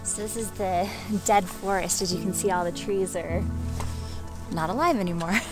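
A woman's voice: medium pitch, animated, measured pace, over music. A woman in her thirties chatting cheerfully as a travel vlogger outdoors on a mountain. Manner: cheerful, giggly. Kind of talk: casual travel vlog chat. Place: outdoors on a volcano summit.

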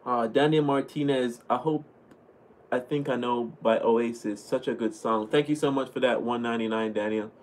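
Young Jamaican-accented man, laid-back streamer, mid-pitched voice, webcam sound in a small home room. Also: moderate pace. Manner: relaxed, chatty, thanks viewers often. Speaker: livestream host reading chat. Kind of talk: casual livestream chat. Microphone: webcam at desk.

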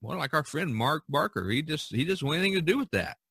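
An older man hosting a casual podcast discussion, speaking haltingly and repeating words as he searches for the next one. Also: on the high side, big pitch swings, vocal fry, fairly bright tone, husky, dry recording, studio quality. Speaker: podcast host.

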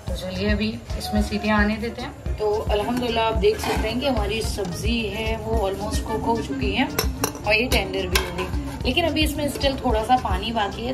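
A woman narrating a home recipe step by step in a conversational, explanatory way. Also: a little high. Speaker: home cooking vlogger and host.

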